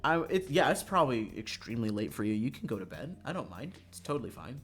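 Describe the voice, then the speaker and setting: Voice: medium pitch, very animated, fast talker. A young adult streamer chatting casually over a visual novel in a playful, theatrical way. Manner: theatrical, playful, bursts into yelling and laughter. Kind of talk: casual livestream chat and visual novel read-aloud. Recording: music behind the voice.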